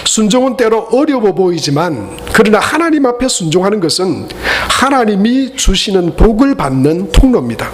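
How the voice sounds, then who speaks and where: High-voiced pastor. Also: male voice, noisy background. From Gyeongsang region, Korea. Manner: earnest preaching with joking asides to the congregation. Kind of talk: Sunday sermon. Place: church sanctuary.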